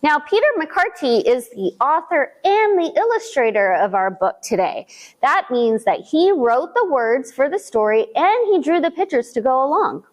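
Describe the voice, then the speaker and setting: A woman reading a picture book aloud to young children at a library storytime, asking them questions as she goes. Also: sits high. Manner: slow, animated, speaking to young children with questions.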